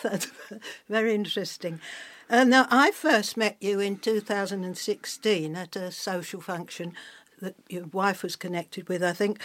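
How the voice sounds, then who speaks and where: An older woman hosting a podcast and interviewing a guest in a measured way. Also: mid-range voice. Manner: measured, reads an introduction. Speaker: podcast host.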